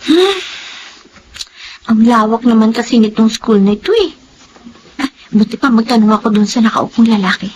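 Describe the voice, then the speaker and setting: High-voiced, timid young freshman girl acted by a woman. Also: moderate pace, long pauses, a little hiss or hum, natural voice, rough recording. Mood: curious, unsure. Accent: Filipino. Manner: hesitant, polite, unsure. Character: timid, confused young female college freshman. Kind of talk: Filipino radio drama acting.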